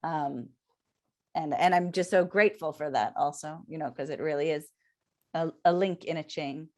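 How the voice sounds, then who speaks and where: Halting woman, low voice. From Israel. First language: Hebrew. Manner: thoughtful, halting, many fillers. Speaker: academic interviewer. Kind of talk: reflective conversation. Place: video call. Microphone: video call.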